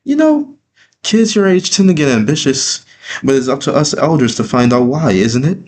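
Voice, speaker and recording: A man voicing a doting, teasing elderly grandmother in a gushing, gently chiding way for a dramatic storybook reading. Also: mid-range voice, lively intonation, moderate pace, voice pushed up, lightly acted. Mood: fussing, relieved. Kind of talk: dramatic storybook read-through.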